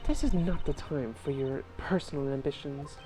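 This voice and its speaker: A woman's voice: low voice, moderate pace, music in the background, lightly acted. Woman doing a cold, commanding headmistress. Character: cold, stern female headmistress.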